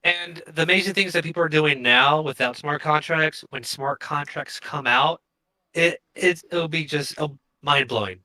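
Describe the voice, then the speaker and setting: A man's voice: a little high, normal speed, sharp tone, rough recording. A man co-hosting a show, talking in casual podcast conversation. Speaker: co-host of a cryptocurrency show.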